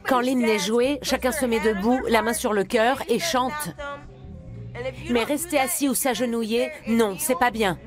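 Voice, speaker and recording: A woman's voice: on the high side, measured pace. Firm woman speaking over music. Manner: firm, disapproving. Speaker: local resident interviewed.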